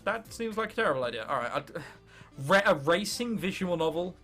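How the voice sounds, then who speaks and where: A man's voice: sits high, sing-song, a little fast, fairly bright tone, soft background music. A young man of about nineteen with an English accent, giving casual, jokey gaming commentary and reading game text aloud. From England. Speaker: gaming video host.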